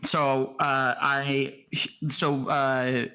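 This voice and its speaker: A man taking part as a player in a streamed tabletop game, playful, with quick asides. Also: medium pitch, flat delivery, sharp tone, slight background noise.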